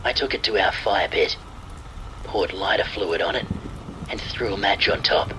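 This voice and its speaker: A man reading a scripted first-person horror story in a measured, deliberate storytelling manner. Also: lowish voice. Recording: steady rain noise behind the voice. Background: rain and thunder sounds.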